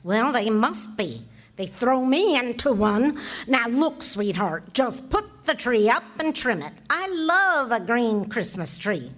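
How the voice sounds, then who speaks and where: A woman acting a patient, sensible wife who teases with gentle sarcasm in a 1940s radio comedy. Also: fairly high pitch, big pitch swings, exaggerated voice. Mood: gently sarcastic. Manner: dry, measured teasing.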